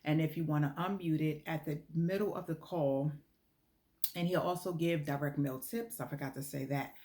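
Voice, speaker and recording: A woman's voice: sits low, steady tone, moderate pace, warm tone. An American woman talking informally in a promotional video, explaining earnestly and repeating points for emphasis. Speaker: lottery club promoter.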